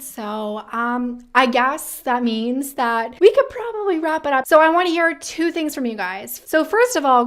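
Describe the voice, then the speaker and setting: Woman, slightly high voice, casual video host, informal slangy phrasing, opinionated chatty commentary. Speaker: career advice video host.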